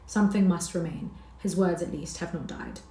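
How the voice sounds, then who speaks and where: A woman's voice: on the low side, normal speed, dark tone, close to an everyday voice. A woman voicing a grieving young woman who speaks mournfully and pleads in a heartbroken tone. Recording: roomy echo.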